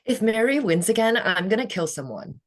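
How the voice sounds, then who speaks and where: A woman's voice: medium pitch, expressive, normal speed, lightly acted. A woman playing a vain, jealous beauty queen in her twenties at a script table read. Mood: seething. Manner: seething, falsely sweet. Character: resentful, vain twenty-five-year-old beauty queen, murderously jealous.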